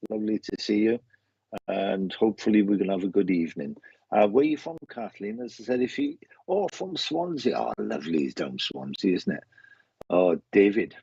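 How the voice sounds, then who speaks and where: Husky Welsh man, low-pitched and chatty. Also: lively intonation, moderate pace, mellow. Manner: chatty, rambling, repeats phrases. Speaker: psychic medium hosting a live online show. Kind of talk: casual live chat, greeting viewers. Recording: muffled roomy online stream audio.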